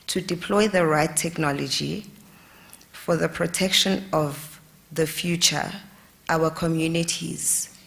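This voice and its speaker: A woman giving a slow, solemn formal speech. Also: low-pitched, vocal fry. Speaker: speaker, royal. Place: conference hall.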